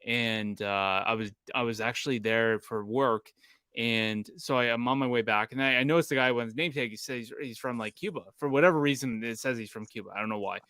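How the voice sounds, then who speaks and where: A man chatting as a podcast co-host. He stops and restarts often as he tells a story. His voice is smooth and resonant, and the recording is dry and close. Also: mid-range voice, pushed and bright, dry recording. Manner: halting, full of restarts while telling a story. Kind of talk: casual chat.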